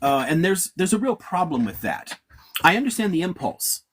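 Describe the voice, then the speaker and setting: A man's voice: sits high, big pitch swings, moderate pace, fairly bright tone, a little hiss or hum. A man giving blunt, profane answers as a guest historian in an interview, lecturing with emphatic asides.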